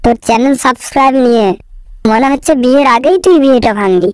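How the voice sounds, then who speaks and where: A woman voicing a gruff, scolding father of a young suitor in a comic cartoon. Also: sits high, fast talker, dry recording, natural voice. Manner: coarse, scolding. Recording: loud music behind the voice.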